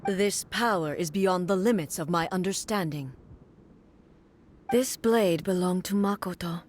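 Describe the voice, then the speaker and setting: A woman voicing a solemn, dignified female warrior deity in a video game, speaking in a formal, measured, grave manner. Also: fairly low pitch, darkish tone. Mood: reflective, solemn. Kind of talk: dramatic video game voice acting.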